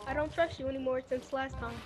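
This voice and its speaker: A woman playing a brusque, distrustful mother in an amateur video game roleplay, brisk and dismissive. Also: high-pitched, steady tone, over music, lightly acted.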